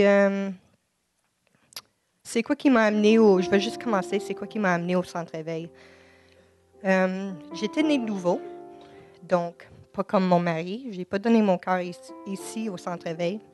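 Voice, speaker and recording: A woman's voice: mid-range voice, a little mellow, soft background music. Shy Quebec French woman giving testimony. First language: English. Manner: halting, shy, many restarts. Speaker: church member giving testimony. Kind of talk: personal faith testimony. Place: church service. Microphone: microphone before an audience.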